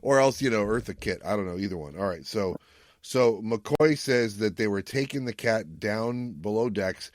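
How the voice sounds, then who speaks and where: A man co-hosting a podcast, chatting casually and retelling a story in a jokey, animated way with acted-out quotes. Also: fairly low pitch, moderate pace, dry recording, studio quality. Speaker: podcast co-host. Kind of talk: casual recap chat.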